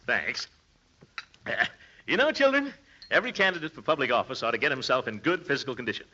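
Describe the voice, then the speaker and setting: A self-important middle-aged uncle from 1940s radio comedy, full of bluster and nervous chuckles. The voice leaps up and down and rings out very sharply. The old recording is dull and muffled. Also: male voice, mid-range voice, very animated, fast talker, bright, forceful tone, slightly rough, exaggerated voice. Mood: pompous, self-satisfied.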